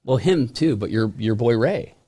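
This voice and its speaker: A man co-hosting a podcast, bantering in an animated, joking way. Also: medium pitch, moderate pace, husky. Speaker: podcast co-host. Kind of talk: casual joking podcast chat.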